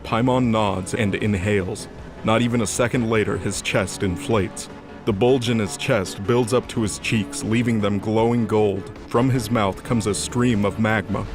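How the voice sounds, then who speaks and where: A man narrating a scripted audio drama in a dramatic storytelling style. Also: lowish voice.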